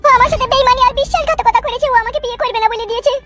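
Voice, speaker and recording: A man's voice: extremely high pitch, rapid-fire. A man voicing an earnest, betrayed young village daughter in a cartoon. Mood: pleading, remorseful. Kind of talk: cartoon comedy-drama voice acting. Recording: loud music behind voice.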